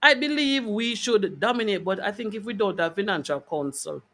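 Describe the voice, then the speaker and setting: A man's voice: high-pitched, lively intonation, moderate pace, bright, forceful tone. A man hosting a conversational interview, speaking emphatically and repeating key phrases for weight. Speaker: interview host. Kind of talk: conversational faith-based interview.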